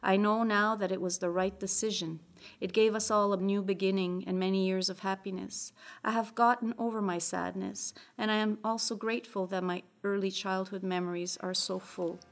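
A woman from Italy whose first language is a Venetian dialect, reading her own prose aloud in a measured, careful way. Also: mid-range voice, fairly even intonation. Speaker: writer.

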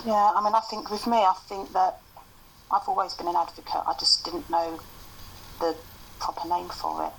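Male interviewee, calm, gravelly and bright tone, faint noise behind. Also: high-pitched, normal speed, poor audio. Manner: calm, hesitant, many fillers. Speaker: advocate. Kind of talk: casual interview answers.

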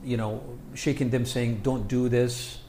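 A man from Egypt, a scholar of Islam, answering interview questions with many pauses and fillers. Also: medium pitch, fairly even intonation, mellow, roomy sound, a little hiss or hum. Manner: halting, many fillers and restarts.

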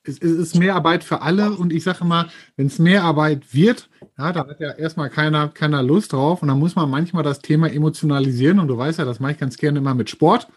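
A man's voice: on the high side, brisk, breathy edge. A talkative man co-hosting a podcast, giving business advice with sports comparisons. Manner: lively, talkative, uses sports analogies. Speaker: podcast co-host. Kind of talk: casual business chat.

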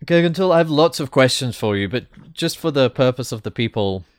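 A man hosting a podcast, giving formal scripted introductions and asking clear questions. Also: medium pitch, expressive, brisk, no echo, studio quality. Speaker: podcast host. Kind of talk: podcast interview.

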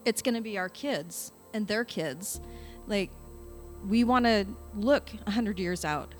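A woman's voice: mid-range voice, measured pace, faint music behind. A middle-aged woman sharing an informal, earnest word as a church leader at a service.

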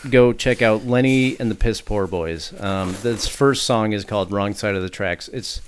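A man's voice: fairly low pitch, normal speed, a little hiss or hum. A man co-hosting a podcast, chatting casually and swearing freely about a record. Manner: laid-back, swears freely, sings along offhand. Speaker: podcast co-host. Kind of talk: casual chat over music. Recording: music playing behind. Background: country music with guitar.

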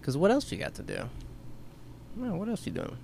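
A man co-hosting a podcast, chatting casually and rambling, his voice going hoarse. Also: high-pitched, wildly swooping pitch, normal speed, a little mellow, a little hiss or hum. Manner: rambling, laughing, trailing off. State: losing his voice. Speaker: podcast co-host. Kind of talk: casual chat.

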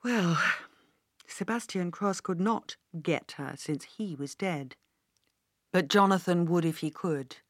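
A woman narrates a work of fiction. Her voice sits fairly low and she reads in a measured, articulate style. The recording is dry, with no room sound. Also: dry recording. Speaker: audiobook narrator.

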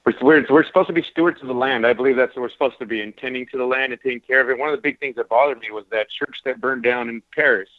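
A man's voice: mid-range voice, very fast, few pauses, no room sound. A man hosting a casual radio talk show, talking in earnest, rambling runs. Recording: tinny phone-like radio audio, dry.

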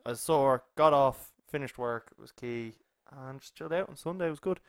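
A man's voice: medium pitch, a little fast, clean tone. An Irish man co-hosting a casual podcast, chatting with short interjections and light teasing. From Ireland. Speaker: podcast co-host. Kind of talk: casual chat.

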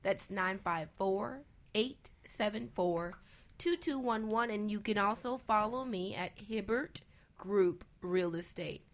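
A woman's voice: mid-range voice, slow pace. A woman in a conversational panel talk, speaking earnestly and insistently in short, repeated phrases. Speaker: real estate professional, guest panelist.

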